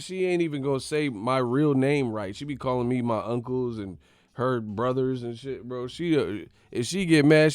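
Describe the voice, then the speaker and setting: A man's voice: medium pitch, darkish tone, clean tone, no room sound, studio quality. A man hosting a boxing channel who rants in a slangy, profane way, laughing and mocking as he talks. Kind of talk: profane comedic vlog rant.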